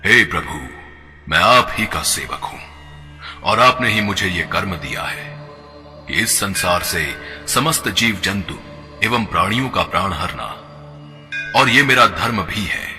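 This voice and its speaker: Man doing solemn death god, deep, lively, bright, formal, reverby hall, music behind. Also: measured pace, exaggerated voice. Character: stern god of death, elderly male. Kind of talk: devotional cartoon voice acting. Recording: long reverb with background music.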